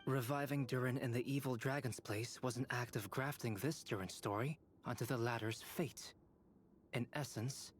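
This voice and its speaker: An actor playing a calm, scholarly young man in a video game, explaining his reasoning in a measured way. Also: mid-range voice, lightly acted. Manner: measured, analytical.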